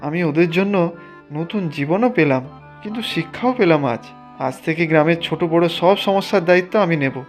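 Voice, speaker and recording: A man's voice: on the high side, fairly quick, faint music behind, close to an everyday voice. A man voicing an ambitious, greedy village official in a cartoon, talking in a persuasive, insistent way. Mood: subdued, remorseful. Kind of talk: Bengali cartoon dialogue.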